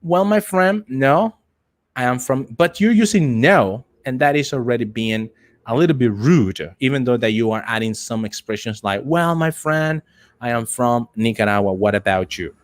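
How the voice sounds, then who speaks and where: English teacher, man repeating phrases deliberately. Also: medium pitch. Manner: repeats phrases, slow deliberate emphasis on example sentences. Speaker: English teacher for call center trainees. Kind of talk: live online lesson, prompting students.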